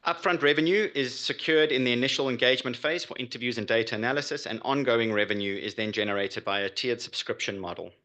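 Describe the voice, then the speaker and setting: A man delivering a prepared pitch as a startup founder. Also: fast talker, few pauses, bright, forceful tone. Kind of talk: prepared business pitch presentation.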